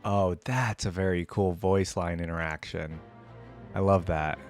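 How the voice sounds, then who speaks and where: A man's voice: sits low, fairly even intonation, unhurried, faint music behind. A man hosting a reaction video, giving casual commentary and musing aloud in a conversational way.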